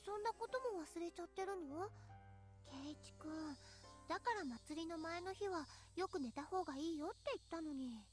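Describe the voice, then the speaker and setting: Very high, cutesy anime girl. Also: expressive, quick pace, faint music behind. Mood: teasing, cheerful. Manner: childlike, cutesy verbal tics. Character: cutesy, sweet young girls, anime schoolfriends. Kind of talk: Japanese visual novel voice acting.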